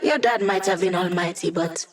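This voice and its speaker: A woman's voice: fairly low pitch, lightly acted. An actress voicing a witty young female hacker in a video game, delivering sly, teasing quips to her team. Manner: wry, teasing, quick quips. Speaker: hacker support character.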